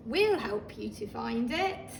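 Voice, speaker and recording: Woman voicing an eager little storybook girl, high and lively. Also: takes it slowly, gravelly, exaggerated voice. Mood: reassuring. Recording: roomy, distant microphone, faint noise.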